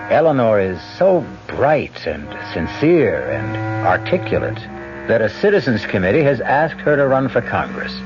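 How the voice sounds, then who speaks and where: A man's voice: mid-range voice, sing-song, measured pace, over music. A man giving a suspenseful scripted introduction to a radio mystery, on a dull vintage recording. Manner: suspenseful storytelling. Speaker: episode narrator. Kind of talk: scripted dramatic narration.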